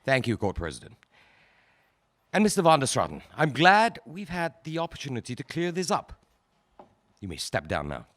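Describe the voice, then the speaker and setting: A man acting a prosecutor in a 1940s court, questioning in a measured, formal way. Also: mid-range voice, huge pitch swings, a bit bright, slight background noise. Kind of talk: radio drama acting.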